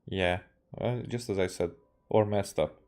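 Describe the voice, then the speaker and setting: A man's voice: low voice, a little slow, background noise. A man with a Hungarian accent, casually rambling as a live game streamer in a hesitant, musing way.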